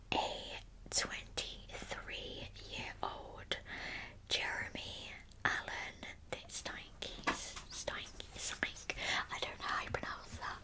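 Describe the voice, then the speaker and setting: A woman hosts an ASMR storytelling session entirely in a soft whisper. Her delivery is unhurried, with a sharp, bright hiss to the breath. She narrates like a true crime storyteller talking closely to her listeners. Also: low-quality recording. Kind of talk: whispered ASMR storytelling, reading with chatty asides.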